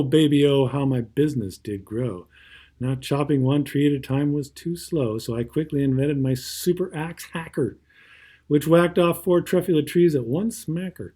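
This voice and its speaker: A man's voice: fairly high pitch, warm tone. A man reading a rhyming children's story aloud with theatrical, rhythmic phrasing and brief asides. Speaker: storybook reader.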